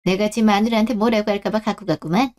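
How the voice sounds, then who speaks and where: A woman's voice: medium pitch, steady tone, fast talker, a little mellow, lightly acted. A woman playing an overbearing older mother-in-law, harsh, nagging and indignant, in a radio drama. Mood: indignant, scolding. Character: scolding, overbearing older mother-in-law, quick to take offense. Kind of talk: Korean radio drama acting.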